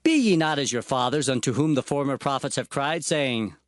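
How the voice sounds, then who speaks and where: An actor playing an earnest prophet, recounting visions and warnings in a fervent, declamatory dramatized scripture narration. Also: male voice, mid-range voice, a little fast, no echo, studio quality, close to an everyday voice. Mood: stern, fervent.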